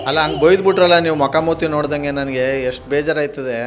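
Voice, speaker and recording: A man's voice: a little high, quick pace, crowd noise. A man with a southern Karnataka accent hosting a radio prank call, bantering in an argumentative, persistent, aggrieved manner. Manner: argumentative, feigning grievance, persistent.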